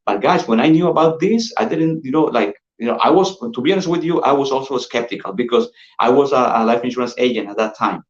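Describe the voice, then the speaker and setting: A man with a Colombian Spanish accent, a sales manager giving an energetic, persuasive pitch and calling his listeners "guys" over and over. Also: fast, hardly any pauses. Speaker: insurance sales manager presenting. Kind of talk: upbeat sales pitch on a call. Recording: dull roomy lo-fi audio.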